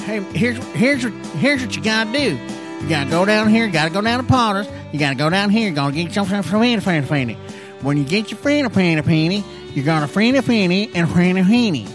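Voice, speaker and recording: Man playing a pushy, repetitive East Texas neighbor man, pitched well above his own voice. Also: expressive, fast, over music.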